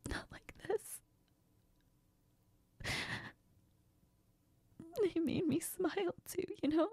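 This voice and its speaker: A woman playing a heartbroken young woman in an intimate audio role-play, crying and confiding in a halting, breathy, often whispered voice. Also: very high voice, mellow, no echo. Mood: wistful, hurt. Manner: halting, sniffly, tearful. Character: heartbroken, tearful, apologetic young woman confiding in best friend.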